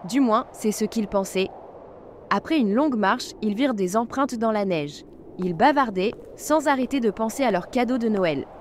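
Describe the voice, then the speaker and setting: Gentle woman narrating a children's tale. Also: on the high side, lively intonation, moderate pace, slight background noise. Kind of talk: scripted storybook narration. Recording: music bed behind narration.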